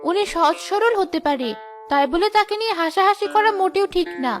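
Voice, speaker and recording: A woman's voice: very high-pitched, a little fast, soft background music. A woman voicing an exasperated, scolding village wife in a cartoon, with a nagging, complaining manner. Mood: angry. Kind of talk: cartoon voice acting.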